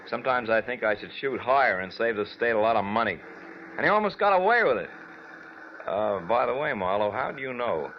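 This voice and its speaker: An actor playing a gruff, exasperated police lieutenant in a 1940s radio drama, curt and sarcastic. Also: male voice, medium pitch, big pitch swings, a little fast, close to an everyday voice. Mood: sardonic. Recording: dull, faintly noisy old radio recording.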